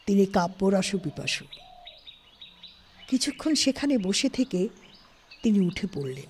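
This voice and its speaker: A woman narrating a literary story in an expressive, scripted style. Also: mid-range voice, a little hiss or hum. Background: music.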